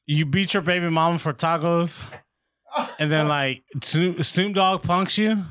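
A man's voice: on the high side, steady tone, a little slow, a bit bright, clean tone. A married man in casual chat, ranting profanely and stammering when worked up. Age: adult, married.

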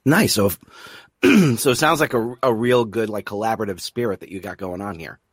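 A man interviews guests in a casual, informal style. His voice sits a bit low. He reworks his questions as he speaks, and the sound is very dry and close. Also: animated, fairly quick, no room sound. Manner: informal, rephrases questions as he goes. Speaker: podcast host, interviewer.

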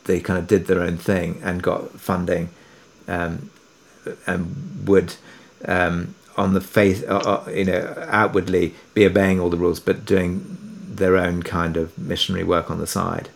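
Male interview guest, fairly low pitch, reflective and hesitant, explaining in a conversational way. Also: little pitch movement, a little slow, vocal fry. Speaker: interview guest, audio drama producer.

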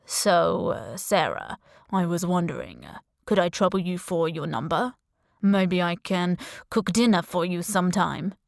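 Lady doing a smooth guy, slow n halting, charming killer playing it casual, audiobook. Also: fairly low pitch, expressive, no room sound, clean studio sound. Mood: smooth, hesitant. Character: smooth, charming male Spanish assassin posing as chef. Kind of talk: audiobook character dialogue.

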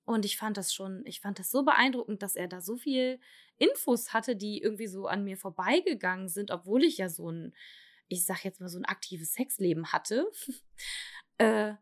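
A young woman from Germany talks through her own story in a frank, confessional way. She hesitates a lot, restarting sentences and filling pauses. Her voice sits at a middle pitch. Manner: hesitant, halting, many fillers and restarts; candid, confessional. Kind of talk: personal testimony.

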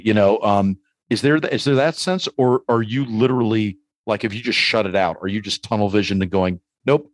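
A man's voice: fairly low pitch, no room sound. A middle-aged man hosting a podcast, talking casually in long, rambling, philosophical asides. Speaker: podcast host.

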